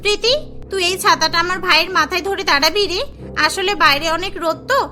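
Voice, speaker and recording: A woman's voice: very high-pitched, fast talker, pushed and bright. A woman voicing a boastful rich young woman who dotes on her brother, in melodramatic, emphatic cartoon style with music behind. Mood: fussing, pleading. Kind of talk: melodramatic cartoon story acting.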